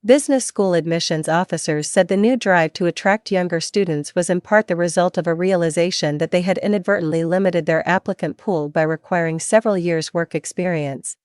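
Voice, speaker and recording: A woman's voice: on the low side, a little fast, hardly any pauses, a little mellow, smooth, no echo, studio quality. A woman reading a scripted practice passage aloud for an English test.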